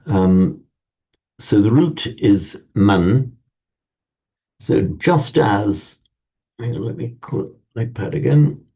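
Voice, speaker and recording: A man teaching a Sanskrit class in a relaxed lecture manner, often stammering and restarting words. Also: on the low side, slow pace, pauses often, husky. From parents from Ireland. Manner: hesitant, repeats and restarts words. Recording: dull lo-fi sound.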